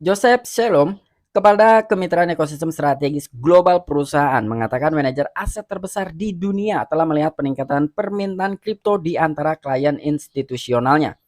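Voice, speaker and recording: Chatty man, slightly high voice. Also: animated, moderate pace, a little mellow, no room sound, clean studio sound. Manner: chatty, many fillers like "gitu ya" and "nih". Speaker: crypto YouTuber. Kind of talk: casual explainer reading and commenting on news.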